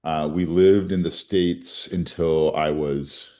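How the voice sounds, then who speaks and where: Man, 40s, very deep voice, grew up in Colombia, defense lawyer, earnest, reflective. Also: steady tone, slow pace, mellow. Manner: earnest, reflective, frequent restarts. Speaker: criminal defense attorney. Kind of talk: interview storytelling.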